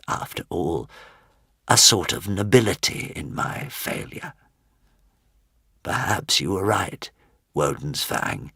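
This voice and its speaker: A man voicing a huge old dying dragon in a storybook, solemn and remorseful, speaking slowly in a weak, trembling whisper. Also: low voice, vocal fry, bright, forceful tone, husky, no echo, clean studio sound, exaggerated voice. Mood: weary, at peace. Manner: slow, grave, halting delivery.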